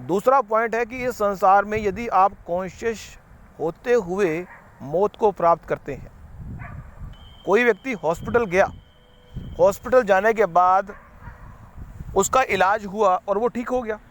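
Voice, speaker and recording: Guy with a high voice rambling thru a spiritual talk, repeats himself a lot. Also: measured pace, pauses often, fairly bright tone, slight background noise. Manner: rambling, repetitive, mixes English words. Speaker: spiritual speaker. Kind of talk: unscripted motivational talk.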